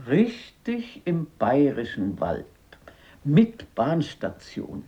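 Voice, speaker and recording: A woman playing a fussy, determined, affectionate old grandmother in a radio drama, insistent and husky. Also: deep voice, huge pitch swings, warm tone, dull audio, heavily acted. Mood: pleased. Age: older. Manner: brisk commands, fussing, insistent.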